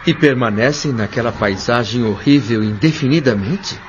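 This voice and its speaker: A man's voice: mid-range voice, moderate pace, a little hiss or hum, close to an everyday voice. A Brazilian man playing an earnest, inquisitive newcomer to the spirit world in an audio drama, speaking politely with searching hesitation. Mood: troubled, questioning. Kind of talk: audio drama acting, questioning dialogue. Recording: dull lo-fi audio over music.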